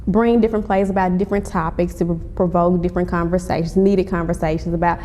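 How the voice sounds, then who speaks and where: A woman's voice: fairly even intonation, quick pace, warm tone. A woman giving polite, conversational answers as an interview guest. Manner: polite, says ma'am to the interviewer. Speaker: interview guest, playwright and actress promoting her stage play. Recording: echoey hall, clear rumbling background noise.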